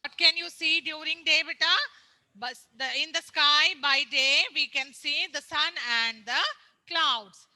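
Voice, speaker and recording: A woman teacher with an Indian English accent, explaining a lesson slowly to young children on a video call, repeating points and asking them questions. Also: high voice, steady tone, bright, forceful tone, no room sound. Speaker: primary school teacher. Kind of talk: online class lesson. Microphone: laptop or phone on a video call.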